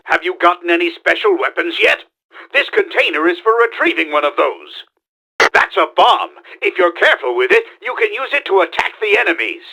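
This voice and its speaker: A man playing a pompous middle-aged mad scientist villain in a video game, theatrical and exclamatory, with a gravelly, forceful voice. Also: high voice, big pitch swings, brisk, heavily acted, rough recording. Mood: instructive, bossy. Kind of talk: video game tutorial voice lines.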